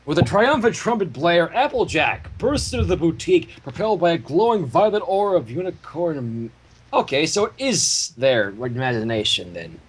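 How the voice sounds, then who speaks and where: A man with a high voice and very lively pitch reads a story aloud and chats casually. The livestream microphone has a faint noise with clicks. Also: normal speed. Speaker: livestream co-host and story reader. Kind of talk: animated fan fiction reading with casual chat.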